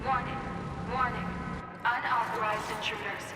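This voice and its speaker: A woman voicing an impassive guard machine in a video game, giving alarms in a measured, robotic manner. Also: on the high side, fairly even intonation, close to an everyday voice. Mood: cold warning. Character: impassive female guard machine announcing alarms, cold and mechanical. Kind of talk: scripted game announcement. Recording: loud game music and crashes behind.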